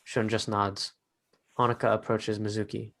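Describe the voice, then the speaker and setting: A man's voice: on the low side, little pitch movement, normal speed, a bit bright. A man narrating a script, reading out stage directions in short phrases.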